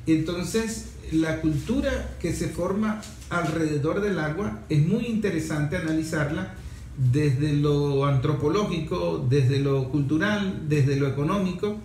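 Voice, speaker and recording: Higher-pitched Venezuelan man hosting in an echoey room. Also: a little mellow. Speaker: radio talk show host. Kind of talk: conversational radio talk about environmental issues. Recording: echoey room with background noise.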